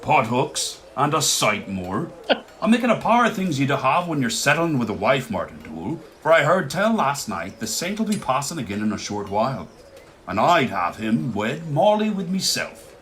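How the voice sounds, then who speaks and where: Scolding Irish blacksmith, very animated. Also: male voice, mid-range voice, moderate pace, slight background noise. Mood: boastful. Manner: scolding, emphatic. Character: gruff, bossy village blacksmith, male, proud and scolding. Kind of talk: radio play acting of rural Irish dialect.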